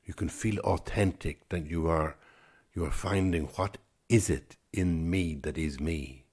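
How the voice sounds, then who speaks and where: A man's voice: low voice, unhurried, darkish tone, breathy edge. A man in his sixties, a podcast host, talking alone in a reflective, musing way and often repeating words as he gathers his thoughts. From Ireland. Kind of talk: reflective solo podcast talk.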